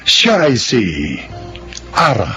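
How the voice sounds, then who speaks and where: A man's voice: medium pitch, very animated, slow. A man with a Filipino accent announcing and narrating a radio drama in a dramatic scripted style. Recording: loud music over the voice.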